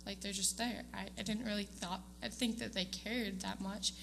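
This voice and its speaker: A young woman talks to a church congregation, stopping often on ums and restarting her sentences. Her voice is bright and pushes forward. A faint hum sits under the recording. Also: mid-range voice, fairly even intonation, normal speed, creaky. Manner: hesitant, many ums, laughs often. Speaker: student giving award speech. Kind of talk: casual speech to a church congregation. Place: church sanctuary.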